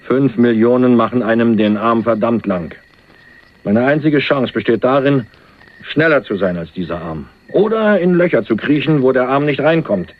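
An actor playing a hunted young millionaire on the run, wry and streetwise, talking in a dry, colloquial, confiding way in a crime radio play. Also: male voice, medium pitch, lively intonation, moderate pace, dry recording, close to an everyday voice. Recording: muffled phone-like narrowband radio recording.

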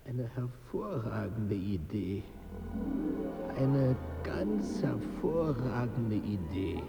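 Radio play role: deliberate restaurant owner, creaky voice, roomy echo, loud music behind. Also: male voice, medium pitch, expressive, exaggerated voice. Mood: approving. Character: slow, approving male restaurant owner. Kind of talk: German radio play dialogue.

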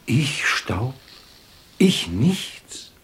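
An actor playing a proud, magnanimous sultan in a 1950s German radio drama, with stately, emphatic theatrical delivery and dramatic pauses. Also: male voice, medium pitch, big pitch swings, a bit bright, heavily acted. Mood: awed. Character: proud, noble, magnanimous sultan, easily moved.

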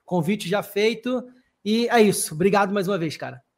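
A man's voice: sits high, a little fast. A man hosting a casual podcast interview, speaking in an upbeat, wordy way with lots of fillers. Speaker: podcast host.

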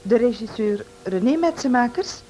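A woman's voice: on the high side, expressive, moderate pace, dark tone, faint music behind, rough recording. A woman reading a scripted radio programme announcement.

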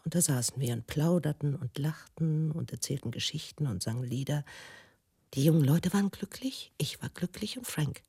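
An actress in a German radio drama monologue, playing a troubled, brooding woman recalling the past in a measured, intimate way. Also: low voice, expressive, warm tone, breathy edge, dry recording, clean studio sound, heavily acted. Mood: wistful recollection.

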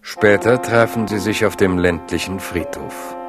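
Erzähler mit sehr tiefer Stimme. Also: male voice, sits low, unhurried, music in the background. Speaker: narrator. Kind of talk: scripted literary narration.

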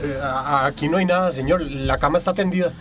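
An actor playing a dutiful junior police officer reporting deferentially in a Latin American radio drama. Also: male voice, fairly high pitch, fairly quick, close to an everyday voice. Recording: music behind voice.

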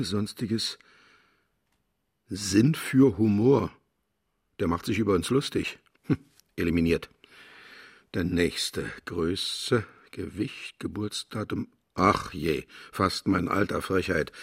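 An actor in a German radio play voicing a grumpy, vain aging official, curt and disdainful. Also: male voice, on the low side, breathy edge, exaggerated voice. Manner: curt, muttering, disdainful, indignant when challenged. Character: grumpy, vain, aging male official sorting human candidates.

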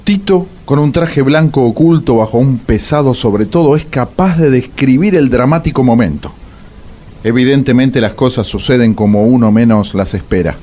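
A man's voice: mid-range voice, warm tone, a little hiss or hum, close to an everyday voice. An actor playing a wry male storyteller with an Argentine accent, mock-solemn in a comic radio drama. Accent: Argentine Rioplatense. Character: wry male storyteller and awkward bar date. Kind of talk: dramatic scripted narration and radio comedy.

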